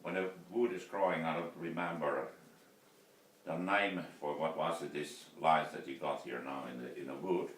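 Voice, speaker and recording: A Finnish man in his fifties speaks English with a very deep voice, slowly and haltingly. He is heard through a camera mic several feet away in a roomy workshop, with faint noise behind him. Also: fairly even intonation, fairly bright tone. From Finland. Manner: slow, halting, many fillers and restarts. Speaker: woodturner vlogger. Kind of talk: casual workshop vlog. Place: cluttered indoor wood shop. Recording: roomy workshop sound from a distance.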